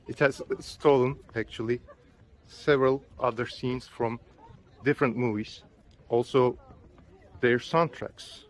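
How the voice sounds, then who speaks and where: Turkish man, travel vlogger, casual and rambling, mid-pitched voice, outdoors on an onboard mic. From Turkey. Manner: chatty, with fillers, loose phrasing. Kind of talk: casual travel vlog narration. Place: outdoors at an open-air museum. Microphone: built-in camera mic.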